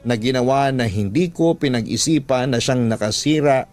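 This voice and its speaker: Man, Filipino accent, radio drama storyteller, medium pitch, sentimental scripted narration, music bed. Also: moderate pace, a little mellow.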